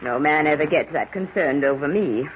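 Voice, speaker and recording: A woman's voice: sits low, moderate pace, natural voice. An actress playing a protective mother of a grown daughter in an old-time radio melodrama. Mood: tender, wry. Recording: muffled, noisy old radio recording.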